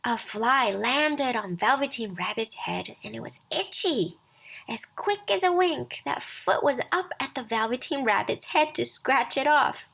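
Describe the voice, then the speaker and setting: Çok ağır tempolu kadın masal anlatıcısı. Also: female voice, sits high, vocal fry. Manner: expressive, deliberate read-aloud delivery. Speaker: storyteller. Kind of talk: scripted children's bedtime story narration.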